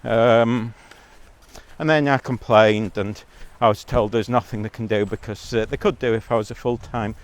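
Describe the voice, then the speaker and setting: A man's voice: lowish voice, fairly even intonation, normal speed. A man giving a blunt, rambling monologue as a vlogger, talking while out on a walk.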